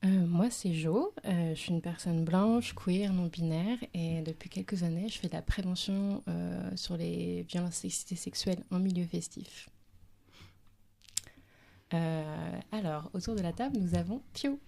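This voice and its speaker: A radio co-host moderating a round of introductions at the table in a relaxed way, with plenty of fillers. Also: mid-range voice, unhurried, vocal fry. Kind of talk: casual radio conversation.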